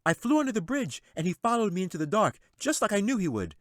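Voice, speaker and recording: Excited, high-pitched boy wizard. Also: quick pace, no room sound, studio quality. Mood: excited, boastful. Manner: excited, breathless rush. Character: excitable young boy wizard, bold and boastful.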